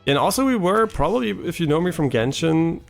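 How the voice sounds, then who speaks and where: Gravelly man rambling casually. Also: on the high side, very animated, a little fast, soft background music. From German-speaking, half French. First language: German. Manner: rambling, many ums and restarts, keeps asking "right?". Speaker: gaming streamer. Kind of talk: casual live-stream chat while gaming.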